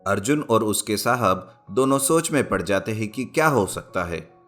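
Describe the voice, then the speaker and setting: A man's voice: fairly low pitch, animated, normal speed, fairly bright tone, faint music behind. A man narrating a scripted children's moral story as a storyteller.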